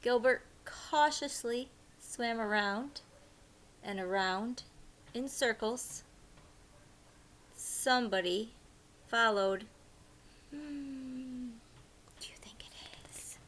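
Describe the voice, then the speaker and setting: A woman reading a picture book aloud as a teacher would, animated, with hushed asides to young listeners. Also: fairly high pitch, extremely slow, long pauses.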